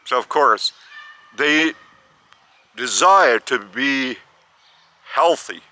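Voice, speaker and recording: Older male spiritual teacher, Lithuanian accent, slow and halting, bright tense tone, outdoors. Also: medium pitch, lively intonation, no echo. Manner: slow, halting, repeats words. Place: outdoors by a school stadium.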